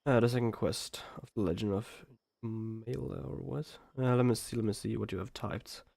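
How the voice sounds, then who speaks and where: A man's voice: mid-range voice, fairly even intonation, normal speed, gravelly, a little mellow, breathy edge, dry recording, clean studio sound. A man with a Swedish accent chatting casually on a gaming livestream, hesitant and rambling as he thinks aloud. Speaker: live streamer.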